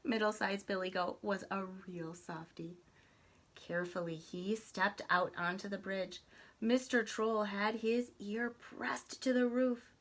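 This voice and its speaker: A woman reading a children's story aloud in an expressive, deliberate, dramatic way. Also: mid-range voice. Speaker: storybook reader.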